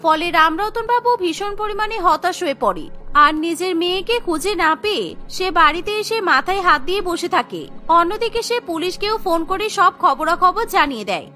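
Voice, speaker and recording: A woman narrating a cartoon story in an even, dramatic storytelling manner. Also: very high-pitched, fairly quick, soft background music.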